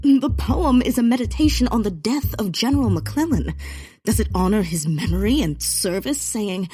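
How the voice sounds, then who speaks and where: A woman acts a wry, earnest poet in a period comedy audio drama. She speaks slowly and dryly at a middling pitch, with faint music underneath. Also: darkish tone, close to an everyday voice.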